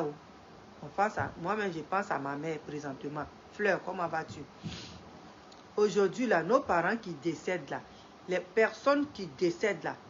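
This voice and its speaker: A middle-aged woman speaks slowly and in a fairly low voice, congested from a head cold. She repeats her points over and over, building into emphatic preaching. The stream audio is dull and lo-fi, with faint ambience behind her. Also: slight background noise. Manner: repeats phrases, rising emphatic when preaching. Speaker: live streamer greeting viewers. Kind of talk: slow moralizing live-stream talk.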